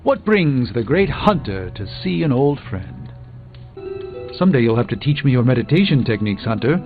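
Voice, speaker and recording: A middle-aged sorcerer mentor from a video game, grandiose and dryly witty. His voice sits a little high for a man and swings widely, with formal, theatrical phrasing. Prominent music plays behind the dialogue. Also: narrow, tinny audio. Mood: wry teasing. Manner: theatrical, eloquent, formal, wry.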